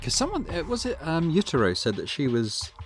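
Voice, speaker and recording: A man's voice: a little high, huge pitch swings, brisk. A man hosting a gaming channel, giving casual let's play commentary and thinking aloud hesitantly. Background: game music.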